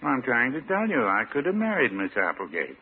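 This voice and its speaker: Casting: mild small-town American druggist, dry humor, medium pitch, thin old radio comedy sound. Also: male voice, brisk, breathy edge, exaggerated voice. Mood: deadpan. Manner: dry, deadpan, folksy. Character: droll, deadpan small-town druggist, mild married man. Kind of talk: classic radio comedy acting. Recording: tinny, noisy old radio recording.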